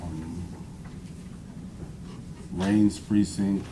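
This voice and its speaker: A man in his thirties with a low voice and a faint Jamaican tinge on certain words answers questions on the witness stand. His replies are brief and deferential. A steady hiss sits under the courtroom audio. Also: extremely slow. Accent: slight Jamaican tinge on some words. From Connecticut, USA. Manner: short, quiet, deferential answers. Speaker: witness, former police officer. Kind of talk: courtroom testimony.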